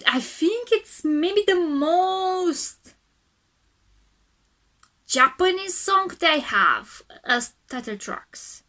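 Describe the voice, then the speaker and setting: A woman hosting a music reaction video, talking calmly and softly, often pausing and restarting her sentences. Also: very high voice. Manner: calm, soft-spoken, with hesitant restarts. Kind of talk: casual music reaction commentary.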